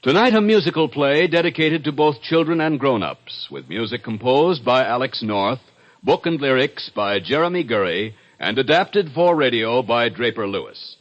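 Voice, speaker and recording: An actor narrating a whimsical 1950s radio musical as a genial announcer and storyteller. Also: male voice, medium pitch, expressive, normal speed, close to an everyday voice. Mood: announcing. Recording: dull 1950s radio recording, music behind.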